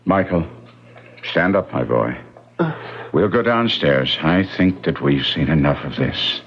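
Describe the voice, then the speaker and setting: Serious older gent in radio play, really deep voice, calm, dull crackly old recording. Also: male voice, a little slow, exaggerated voice. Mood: sombre. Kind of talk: old-time radio drama acting. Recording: noisy, clicking, dull radio drama recording.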